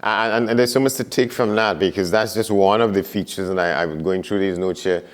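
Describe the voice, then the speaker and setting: A man's voice: sits low, fairly quick. A man hosting an interview, asking long questions with many stammered repetitions. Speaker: interview host.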